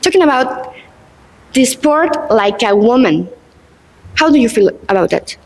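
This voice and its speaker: Slow, hesitant woman asking questions. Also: fairly high pitch, lively intonation, in a large hall, slight background noise, rough recording. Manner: hesitant, searching for words. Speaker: interviewer. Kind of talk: interview questions in a second language.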